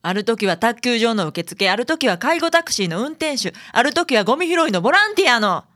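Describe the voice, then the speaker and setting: A friendly young female assistant played by a woman with a Kansai accent, casual and familiar. Her voice is bright and lively in pitch, acted in radio-drama style. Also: fairly quick. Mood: curious. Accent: Kansai Japanese. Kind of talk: community radio drama acting.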